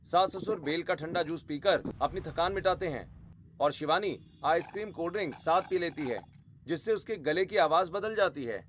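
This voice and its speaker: A man's voice: a little high, moderate pace, a bit bright, a little hiss or hum. A man giving scripted narration of a family story as the narrator.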